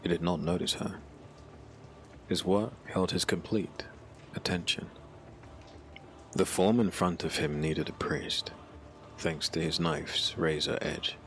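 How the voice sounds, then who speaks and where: A man narrating a dark fantasy audiobook in a solemn, dramatic, scripted style. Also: low voice, steady tone, slow, long pauses, a little hiss or hum. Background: background music.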